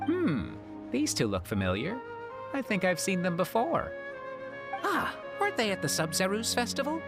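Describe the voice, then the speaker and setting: A woman voicing a playful young theater worker with a teasing, animated manner. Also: medium pitch, normal speed, music in the background. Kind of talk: game voice acting.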